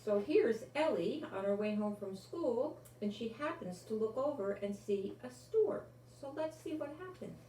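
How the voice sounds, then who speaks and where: An older woman reads a picture book to children from a little way off the microphone. Her pace is slow and unhurried, with simple, expressive phrasing. The room adds noticeable echo around her voice. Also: on the high side. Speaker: storyteller reading a children's picture book aloud. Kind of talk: expressive read-aloud narration for children. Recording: roomy, distant mic with faint noise.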